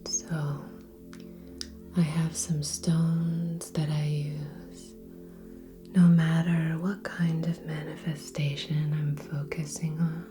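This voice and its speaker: Woman, low even pitch, breathy near-whisper, gentle ASMR host, long pauses, music behind. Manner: slow, gentle, hushed delivery with long pauses. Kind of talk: soft-spoken ASMR. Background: relaxing music with singing bowl tones.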